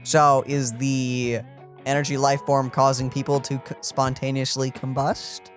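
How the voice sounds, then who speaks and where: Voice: medium pitch, level tone, unhurried. A let's play streamer reading game text aloud and adding casual commentary. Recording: game music in background.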